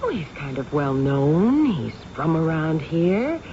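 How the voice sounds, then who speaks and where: A woman's voice: deep voice, very animated, slow pace, dark tone, heavily acted. Coy, tipsy woman, muffled vintage radio. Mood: hesitant, evasive. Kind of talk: old-time radio drama acting. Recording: muffled, noisy vintage radio drama recording.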